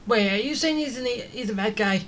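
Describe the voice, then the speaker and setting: A woman plays a game and comments casually as it goes. Her voice is fairly high, and she reacts to the dialogue in an offhand way. There is clear noise behind her. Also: fairly quick. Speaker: gaming streamer.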